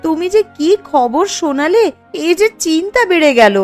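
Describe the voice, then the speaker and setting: A woman's voice: very high-pitched, animated, normal speed, faint music behind. A woman voicing a worried young village wife in a cartoon, anxious and fretting. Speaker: cartoon character.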